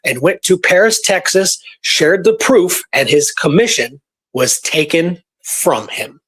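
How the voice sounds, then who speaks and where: A man's voice: a little high, animated, a little slow, fairly bright tone, low-quality recording. A man co-hosting a casual history discussion, informal and keen on his research, sometimes reading from documents. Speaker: co-host and researcher. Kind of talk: casual history discussion with read-out archive passages.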